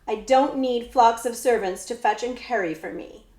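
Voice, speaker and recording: A woman's voice: a little high, moderate pace, darkish tone, roomy sound, lightly acted. A woman voicing a spoiled young prince, curt and stinging, in a children's story. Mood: haughty, curt. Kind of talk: children's book read-aloud.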